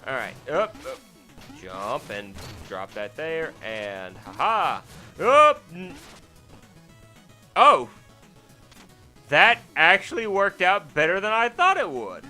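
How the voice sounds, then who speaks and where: A man talks over his game in a loose, rambling way, circling back on himself with lots of "um"s and self-deprecating asides. His voice is fairly deep yet bright and forceful, with sudden startled yelps when something in the game catches him out. Game music plays faintly underneath. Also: big pitch swings, slow pace, long pauses. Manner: sudden startled exclamations while playing. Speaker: let's play host. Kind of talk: casual gameplay commentary.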